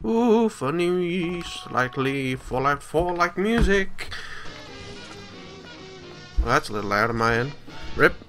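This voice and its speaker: A man's voice: on the high side, very animated, slow pace, long pauses, sharp tone. A man doing jokey, self-mocking let's play commentary as the host of a gaming video. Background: game music.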